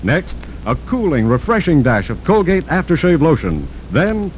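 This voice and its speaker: An American man reads a radio advertisement in the style of the 1940s. His polished, agreeable pitch moves up and down freely. Faint rumbling noise marks it as an old broadcast. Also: sing-song, moderate pace, rough recording. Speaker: radio announcer and sidekick.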